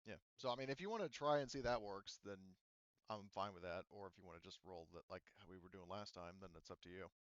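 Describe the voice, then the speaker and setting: A man's voice: sits low, quick pace, no echo, dull audio. A man chatting casually as game master of an online tabletop game, rambling and often backtracking to rephrase himself.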